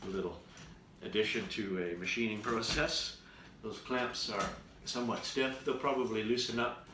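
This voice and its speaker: Male vlogger, lowish bright voice, deliberate casual delivery, recorded off-mic in a roomy space. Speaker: vlogger, radio show performer. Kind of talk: casual unboxing commentary to camera. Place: room in his house. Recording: roomy echo, distant mic, faint noise.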